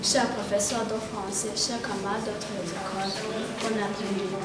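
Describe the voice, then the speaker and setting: A woman's voice: mid-range voice, fairly even intonation, normal speed. A teenage girl, reciting a poem earnestly and declaratively at a school event. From Burundi. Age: teens. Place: large hall. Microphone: far from the microphone. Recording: echoey hall from far off, background ambience.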